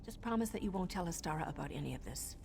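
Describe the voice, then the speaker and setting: A woman's voice: lowish voice, expressive, quick pace, gravelly, darkish tone, a little hiss or hum. A woman voicing an embittered, restless assassin in a fantasy game, sardonic and emotional. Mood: frustrated, defensive. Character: embittered, restless, reckless female assassin, defensive and proud.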